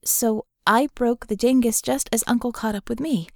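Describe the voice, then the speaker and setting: A woman's voice: on the high side, moderate pace, creaky, darkish tone, no echo, clean studio sound. A woman voicing a guarded, sardonic young female mage in an audiobook, telling her story with wry candor. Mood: wry, bitter confession. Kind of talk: audiobook character dialogue.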